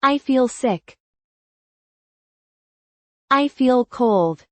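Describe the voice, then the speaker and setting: A woman reading short English lesson phrases in a slow, even drill style. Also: high-pitched, no echo. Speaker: English teacher voice.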